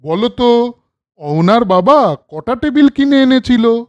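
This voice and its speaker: A woman's voice: fairly high pitch, animated, slow, a little mellow, muffled recording. A woman storyteller reading scripted narration and addressing young viewers directly.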